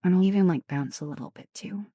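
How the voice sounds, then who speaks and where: A woman streamer chatting casually with viewers in a soft ASMR style, her voice raspy and deeper than usual, often slipping into whispers. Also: fast, no room sound. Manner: chatty, greets and thanks viewers.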